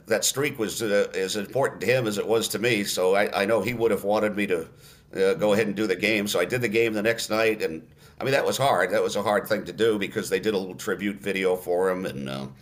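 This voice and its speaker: A man in his late sixties talks through his memories at an easy, unhurried pace. His voice sits low, and he often trails into fillers before picking the thought back up. He sounds like a seasoned radio announcer chatting in a podcast. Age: 60s. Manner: unhurried storyteller with many fillers and restarts. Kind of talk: relaxed reminiscing in an interview.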